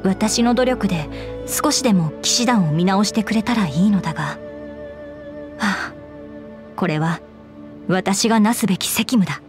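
A woman plays a young female knight commander from a fantasy game, earnest and bound by duty. Her voice sits in a middle register, and she speaks formally and with measured care. Music plays behind her. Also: medium pitch, close to an everyday voice. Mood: solemn, earnest. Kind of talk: Japanese game character voice lines. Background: background music.

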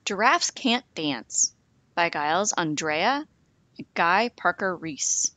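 A woman reading a rhyming picture book aloud for children, slow and expressive with a sing-song lilt. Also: fairly low pitch, no echo. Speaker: storytime reader.

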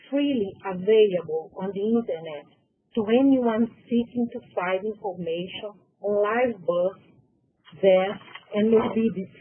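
A woman's voice: mid-range voice, breathy edge. A woman from Brazil with a Portuguese accent, carefully reading a prepared conference presentation in English with occasional self-corrections. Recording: tinny phone-like conference audio.

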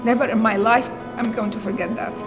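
A woman's voice: a little high, fairly quick. Syrian-accented woman chef, music behind. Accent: Syrian Arabic. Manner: earnest, animated, with many "you know"s. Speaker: chef and restaurant owner. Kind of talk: documentary interview. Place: empty restaurant dining room. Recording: documentary interview with music underneath.

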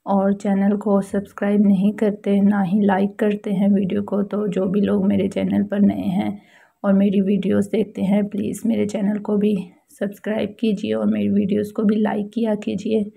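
A woman's voice: medium pitch, completely flat delivery, normal speed, gravelly, mellow, breathy edge. A woman with a Pakistani accent giving a casual how-to voice-over as a home vlogger, explaining things politely and repetitively.

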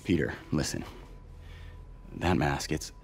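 A middle-aged man playing a composed, courteous businessman in a game cutscene, measured and quietly grave. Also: a bass voice, animated, gravelly, a little hiss or hum, full character voice. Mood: grave, cautioning. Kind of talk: naturalistic video game cutscene acting.

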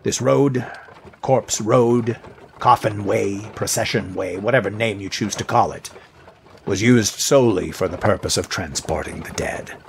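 A very low, gravelly voice from a male actor plays a stern guide in an audio drama. He speaks formally and deliberately, with a grave, dour air. There is faint noise behind him. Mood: ominous. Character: grave, stern man, knowledgeable guide of cursed roads.

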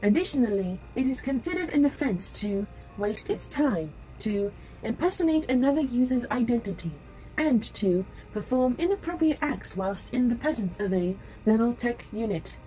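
Actress as female AI service unit: higher-pitched, very melodic intonation, formal, courteous, rule-quoting, audio drama. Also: expressive, normal speed, warm tone, close to an everyday voice, low-quality recording. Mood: official, warning. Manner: formal, procedural, polite. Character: polite, rule-bound female holographic android sales unit. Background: music.

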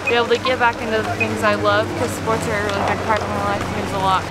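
A woman's voice: medium pitch, flat delivery, fast talker, low-quality recording. A young woman athlete talking earnestly and casually in an outdoor interview. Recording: music under the voice.

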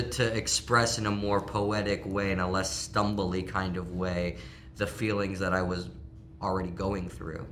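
A man actor answering interview questions, halting and repeating words as he starts his sentences. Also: lowish voice, a bit bright, a little hiss or hum. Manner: stumbling starts, self-deprecating, laughing. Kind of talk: press junket interview. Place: studio set.

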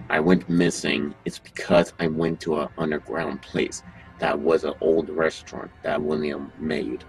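Man acting a deep, gravelly, sinister restaurant owner. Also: flat delivery, a little slow, soft background music, poor audio. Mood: evasive, guarded. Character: evasive, sinister male animatronic restaurant owner hiding murders. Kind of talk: amateur horror audio drama acting.

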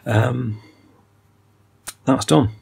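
Gravelly older man at a workbench. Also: mid-range voice, very slow, darkish tone. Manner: musing, technical, many ums and uhs. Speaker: electronics repair hobbyist. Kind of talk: hands-on repair walkthrough. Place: workbench indoors.

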